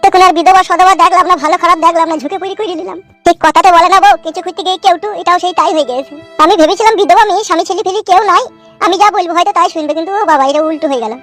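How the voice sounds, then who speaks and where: A man's voice: very high-pitched, racing, fairly bright tone, faint music behind. A man playing a put-upon, rueful village husband in a comic cartoon, plaintive and coaxing.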